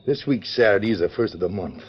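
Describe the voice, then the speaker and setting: Low-voiced, scheming outlaw boss. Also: male voice, fast talker. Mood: scheming, resolute. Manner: folksy frontier drawl with rough grammar. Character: tough scheming Western outlaw gang leader, vengeful and commanding. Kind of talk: 1940s radio western acting. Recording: dull vintage 1940s radio recording.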